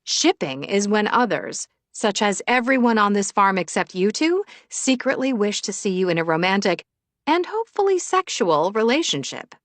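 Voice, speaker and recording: A woman's voice: mid-range voice, very animated, normal speed, no echo, close to an everyday voice. Woman voicing a cheeky, meddling grandma. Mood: teasing. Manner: playful, teasing. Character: cheeky, meddling grandmother teasing about romance.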